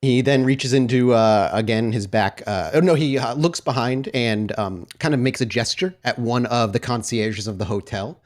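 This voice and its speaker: A man working as game master on a tabletop roleplaying podcast, improvising his narration in a halting way. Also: mid-range voice.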